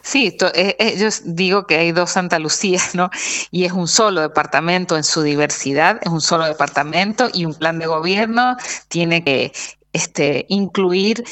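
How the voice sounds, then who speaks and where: A woman from Argentina, a candidate speaking as a guest in a radio interview, thoughtful and pausing often. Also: on the low side, fairly bright tone, dry recording. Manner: thoughtful, pauses with fillers.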